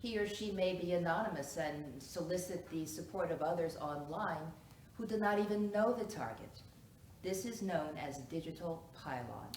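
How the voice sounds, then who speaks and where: Woman as expert-witness psychiatrist, courtroom play: low-pitched, measured, clinical; distant, noisy live-room recording. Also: expressive, darkish tone, echoey room, close to an everyday voice. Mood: firm, assured. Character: formal female psychiatrist testifying as expert witness. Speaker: actor in a one-act play. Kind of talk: stage courtroom drama acting.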